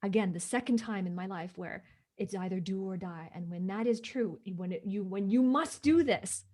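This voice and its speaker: A woman's voice: medium pitch, normal speed, a little mellow. Emphatic woman from Hong Kong. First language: not English. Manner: emphatic, repeats "right?" for agreement. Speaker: guest. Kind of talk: interview storytelling.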